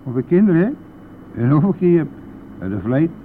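An actor playing a boastful, brash and talkative bus driver in a radio play, with a blustery, colloquial manner. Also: male voice, on the high side, big pitch swings, a little fast. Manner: blustery, colloquial, mildly swearing. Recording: narrow phone-like old radio recording, faint noise.

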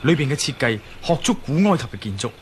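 Man acting calm guy in charge explaining stuff, radio drama, bit of noise behind him. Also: mid-range voice, fast, close to an everyday voice. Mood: calm, reassuring. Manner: explanatory, reassuring. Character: level-headed male adventurer, reassuring man in charge.

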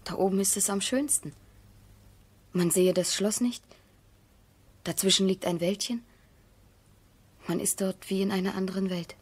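An actress playing a shy, dreamy, earnest young woman with a soft, breathy voice in a radio drama. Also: medium pitch, steady tone, normal speed, pauses often, lightly acted. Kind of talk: German radio drama acting.

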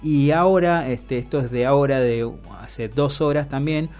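A man with an Argentine accent hosting a radio show, commenting on the news in a halting, emphatic way with self-corrections. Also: medium pitch, a little mellow, soft background music. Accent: Argentine Spanish. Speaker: radio host. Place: radio studio.

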